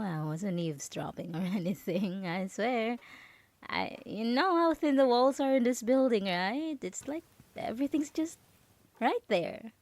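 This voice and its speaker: A possessive yandere roommate, played by a woman in an ASMR audio drama, speaks in a dry, close sound. She is falsely sweet and anxiously controlling, coaxing in halting phrases with a nervous air of innocence. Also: mid-range voice, darkish tone, no room sound. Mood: feigned innocence.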